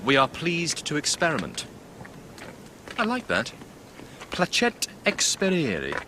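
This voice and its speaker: Eager young gentleman, lively swooping voice. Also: on the high side, takes it slowly, background noise, exaggerated voice. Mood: bright, musing. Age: young adult. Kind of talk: radio drama acting, interior monologue.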